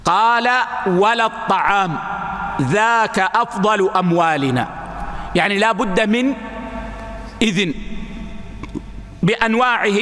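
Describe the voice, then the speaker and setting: A man giving a religious lesson, explaining texts in a measured, teaching way and addressing his listeners as brothers. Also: high-pitched, animated, pushed and bright, big-room sound.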